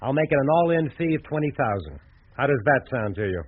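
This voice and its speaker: An actor playing a shrewd, polite American advertising executive in a radio drama, businesslike and frank. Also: male voice, mid-range voice, very animated, fast talker. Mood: agreeable. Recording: thin, phone-like radio drama sound.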